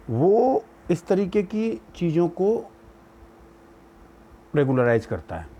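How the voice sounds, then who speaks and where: Higher-voiced Indian man explaining, mellow tone. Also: slight background noise. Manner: explanatory, with English legal terms mixed in. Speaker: legal explainer. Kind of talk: informal spoken legal lecture.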